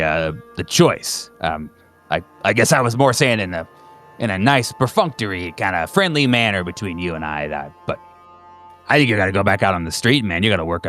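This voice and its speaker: A man's voice: low voice, a bit bright, voice pushed down. A man playing a nervous handler in a roleplaying game, stammering and evasive as he makes veiled threats.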